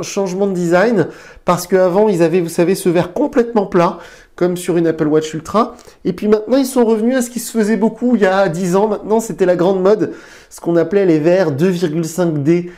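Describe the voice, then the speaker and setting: A man's voice: a little high, warm tone. Nasal-sounding man with a head cold. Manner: chatty, hesitant fillers and restarts. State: stuffy nose from a cold. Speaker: tech reviewer, channel host. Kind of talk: casual tech review to camera. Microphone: lapel mic.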